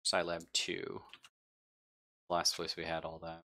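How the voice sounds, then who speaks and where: A man playing a ship's android who gives measured, factual reports in a tabletop roleplaying game. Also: sits low, monotone, pushed and bright, heavily acted.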